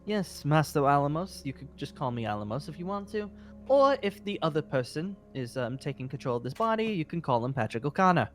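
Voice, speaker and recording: Man acting as genial guild master, highish voice, animated pitch, polite, halting, fantasy game roleplay, music behind. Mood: genial, modest. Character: genial, rambling male guild master mage, cheerful and polite. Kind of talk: improvised Minecraft roleplay acting.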